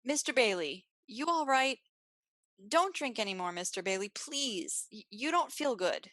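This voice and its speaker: A woman's voice: a little high, animated, a little slow, a bit bright, no echo, clean studio sound. A woman playing an anxious, protective and loyal bar keeper in an old-time radio play. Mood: concerned.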